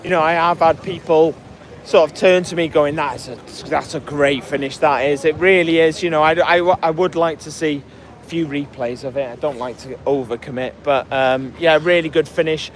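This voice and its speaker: Hoarse, high-voiced man rambling about football. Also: fairly even intonation, moderate pace. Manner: rambling, many fillers and restarts. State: hoarse, voice cracking. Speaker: podcast host. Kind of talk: casual post-match fan chat reading comments.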